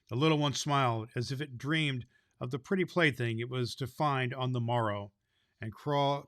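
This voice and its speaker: A man's voice: medium pitch, pushed and bright, no room sound. Man reading a storybook, dry sound. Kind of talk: storybook narration for children.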